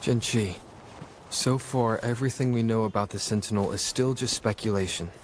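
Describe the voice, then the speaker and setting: Actor as a steady, lower-voiced male adventurer. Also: normal speed, slight background noise, close to an everyday voice. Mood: cautious. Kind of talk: scripted video game voice acting.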